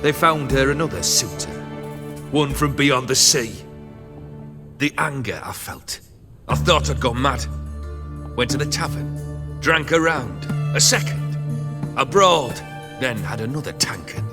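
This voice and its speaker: A man's voice: mid-range voice, animated, measured pace, pauses often, close to an everyday voice. An actor playing a world-weary, cursed nobleman who talks with bitter, sardonic humor, with a game score behind him. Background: game music score.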